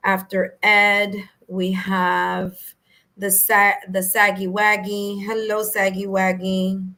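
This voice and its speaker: Raspy-voiced New York woman streaming. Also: mid-range voice, level tone, slow, pushed and bright. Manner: friendly, chatty, laughs easily. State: slightly hoarse, raspy voice. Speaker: tarot reader hosting a live stream. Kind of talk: casual live-stream chat, greeting viewers.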